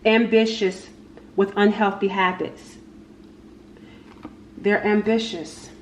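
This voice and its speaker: A woman giving a casual, unscripted tarot reading, speaking haltingly and repeating her phrases as she goes. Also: mid-range voice, level tone. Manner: halting, repeats phrases, talks to spirit and cards. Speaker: tarot reader, channel host. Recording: echoey room with faint background noise.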